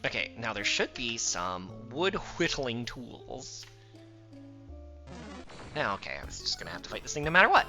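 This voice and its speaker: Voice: medium pitch, big pitch swings, moderate pace, long pauses, pushed and bright, faint music behind. A streamer with a light Southern US accent reading game text aloud and chatting between lines, laughing often.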